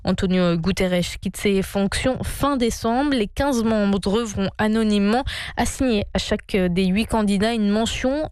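A woman reading a radio news bulletin in a brisk, measured newsreader manner. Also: medium pitch, a little mellow, no echo. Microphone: studio microphone.

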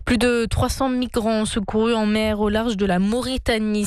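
Woman newsreader, radio bulletin, smooth resonant voice, dry close studio sound. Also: mid-range voice, darkish tone, no echo. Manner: brisk, measured news delivery. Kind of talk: news bulletin reading. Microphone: studio microphone.

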